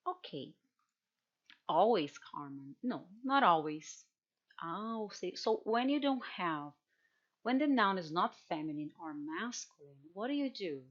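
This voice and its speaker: Slow Brazilian woman teaching Portuguese. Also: fairly low pitch, highs cut off. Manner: patient, repeats example words slowly. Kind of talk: online language workshop.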